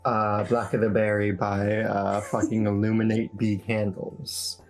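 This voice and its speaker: A woman answering casually as a cast member in a relaxed group Q&A. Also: extremely low pitch, unhurried. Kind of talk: casual Q&A chat. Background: background music.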